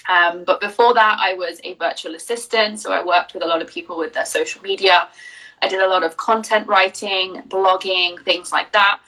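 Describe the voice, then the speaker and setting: A woman's voice: medium pitch, fairly even intonation, normal speed, sharp tone, recorded from a distance, rough recording. A young woman from Jersey, a business coach, chatting openly in an interview and telling her story with plenty of fillers. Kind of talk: casual interview answers.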